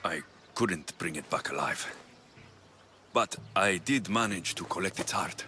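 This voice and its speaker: An actor playing a gruff, weary Greek mercenary in a video game, speaking in a terse, guarded, dry way. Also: male voice, low-pitched, a little slow, slight background noise. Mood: wry. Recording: loud music under the voice.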